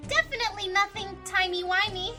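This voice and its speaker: A woman voicing a bubbly, playful, excitable young female pony in a cartoon audio drama, with a chirpy, theatrical manner. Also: very high-pitched, fairly quick, soft background music. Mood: chatty.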